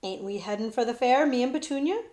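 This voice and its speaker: A woman's voice: lively intonation, quick pace, a little mellow, reverberant, close to an everyday voice. Woman doing a brassy rustic teenage girl. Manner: high, sing-song calling, rustic talk.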